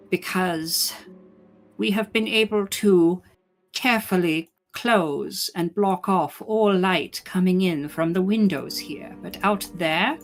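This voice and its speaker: A woman playing a cautious, solemn female magic practitioner in a tabletop game, speaking formally and deliberately. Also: fairly low pitch. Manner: formal, deliberate, measured phrasing. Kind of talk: in-character tabletop roleplay.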